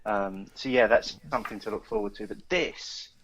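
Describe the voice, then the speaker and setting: A man's voice: fairly low pitch, moderate pace, a bit bright, slight background noise, poor audio. A man co-hosting a beer podcast, chatting enthusiastically as he tastes, often repeating words as he talks. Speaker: podcast co-host. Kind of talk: casual chat.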